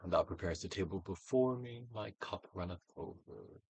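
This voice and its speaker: A man giving a dramatic, theatrical reading of a self-help book, emphatic, with swells and hushed drops. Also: low voice, normal speed, vocal fry, husky, no room sound. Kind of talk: theatrical dramatic reading of scripted instructional text.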